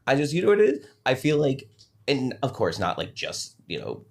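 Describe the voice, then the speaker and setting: A man's voice: mid-range voice, huge pitch swings, moderate pace, vocal fry. A man talking casually as a comedian guest on a podcast, telling anecdotes in a conversational, rambling way.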